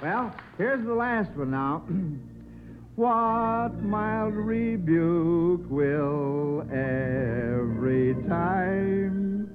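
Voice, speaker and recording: A man hosts an old-time radio quiz with a folksy, encouraging manner. He talks slowly in a raised-pitch voice. The recording is tinny and narrow, like a 1950s broadcast. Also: expressive, over music. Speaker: quiz show host.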